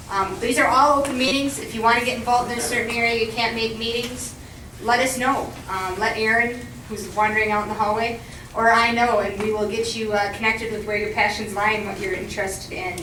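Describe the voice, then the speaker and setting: A woman giving informal announcements at a community meeting, friendly, with frequent ums. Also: on the high side. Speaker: meeting coordinator. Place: meeting room. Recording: roomy, distant, noisy room recording.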